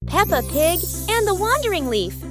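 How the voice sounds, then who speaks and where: A woman's voice: very high-pitched, big pitch swings, normal speed, a bit bright, faint music behind. A woman reading scripted children's story narration in short, simple storybook sentences.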